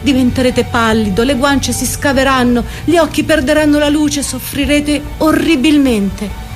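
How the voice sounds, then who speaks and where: A woman as Lord Henry, persuasive aesthete over loud music. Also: high-pitched, normal speed, natural voice. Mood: seductive, ominous. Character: Lord Henry, worldly aesthete urging youth and pleasure. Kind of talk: literary dramatic reading.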